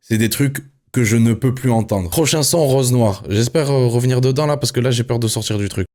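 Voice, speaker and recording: A man streaming live and reviewing an album for his viewers, who swears constantly and swings from mulling things over to excited, laughing rants at the chat. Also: medium pitch, brisk. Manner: swears a lot, addresses chat, jumps from musing to excited ranting; laughs often.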